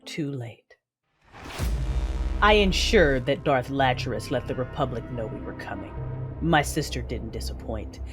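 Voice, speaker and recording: A woman's voice: a bass voice, animated, a little slow. A woman playing a cruel, battle-hungry Sith warrior who narrates her own fights with menace. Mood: gloating, menacing. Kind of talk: first-person audio drama narration. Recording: loud music under the voice.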